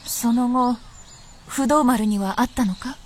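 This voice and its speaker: Slow, high-voiced fox princess. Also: female voice, darkish tone. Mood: knowing, grave. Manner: slow, archaic, superior speech. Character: elder fox princess, knowing, amused, archaic and lofty. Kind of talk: Japanese radio drama acting.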